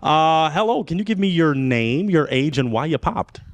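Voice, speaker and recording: Young man, game show host, slightly high voice, showy teasing delivery, handheld mic in studio. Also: animated, normal speed, no echo. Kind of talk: playful game show hosting.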